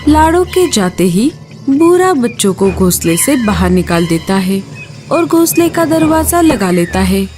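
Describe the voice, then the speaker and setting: A woman's voice: a little high, big pitch swings, measured pace, music in the background. A woman storyteller reading a scripted children's story.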